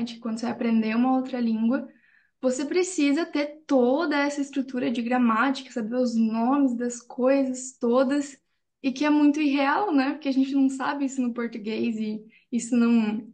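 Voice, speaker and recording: Casual higher-voiced woman joining a class call. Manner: casual, animated, rising "né?" tags. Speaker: course participant. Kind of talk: conversational comment in online class.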